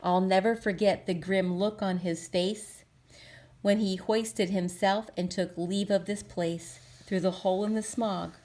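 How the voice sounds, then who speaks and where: A woman's voice: medium pitch, fairly even intonation, a little slow, darkish tone, natural voice. A woman playing a stubborn male factory owner from a storybook, telling his tale in emphatic, rhythmic rhyme. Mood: regretful.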